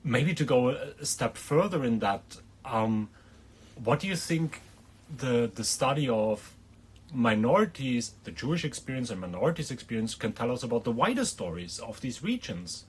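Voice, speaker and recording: A man's voice: medium pitch, very animated, moderate pace, breathy edge. A man interviewing an academic guest, asking long, carefully built questions.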